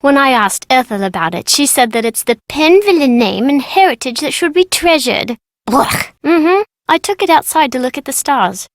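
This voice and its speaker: A woman's voice: high-pitched, lively intonation, moderate pace, no echo. A woman voicing a playful, mischievous British schoolgirl in a video game, chatty and childlike. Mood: playful, cheeky. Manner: childlike, informal, bargaining. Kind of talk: video game character dialogue.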